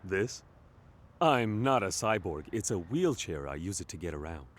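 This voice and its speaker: A man's voice: low voice, big pitch swings, normal speed. A man playing an earnest, wry starship captain in a comic sci-fi audio play, commanding yet reasonable. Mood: earnest, explaining.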